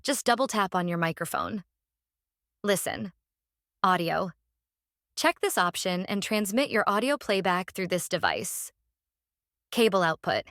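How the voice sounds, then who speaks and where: A woman narrating a casual software tutorial, explaining step by step in a halting way and repeating phrases. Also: lowish voice, very animated, dry recording, studio quality. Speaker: tutorial presenter.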